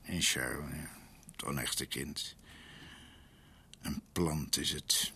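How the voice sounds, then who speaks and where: An actor playing an ageing, scholarly doctor in a radio drama, earnest and brooding, halting as he searches for words. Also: a bass voice, fairly even intonation, sharp tone, husky, exaggerated voice. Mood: grave, reflective. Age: older.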